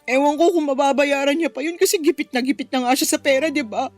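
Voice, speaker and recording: Actress as a very high-voiced, soft-hearted jilted Filipina. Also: female voice, fast talker, faint music behind, lightly acted. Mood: hesitant, resigned. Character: soft-hearted jilted woman, hurt and too forgiving. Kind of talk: Filipino radio drama acting.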